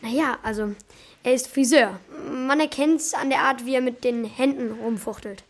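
A performer playing an eager, awkward schoolboy with a hesitant, excitable manner in a children's radio play. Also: high-pitched, moderate pace. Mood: guessing.

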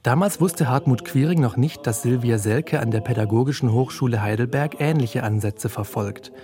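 A man's voice: mid-range voice, normal speed, warm tone. A man narrating a scripted news feature as a reporter. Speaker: radio reporter.

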